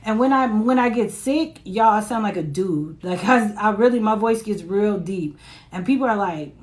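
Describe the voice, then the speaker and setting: Raspy-voiced woman doing tarot live. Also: medium pitch, expressive, normal speed. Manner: chatty, reads viewer comments aloud, laughs often. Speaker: tarot reader. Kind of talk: casual live-stream tarot reading. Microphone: phone.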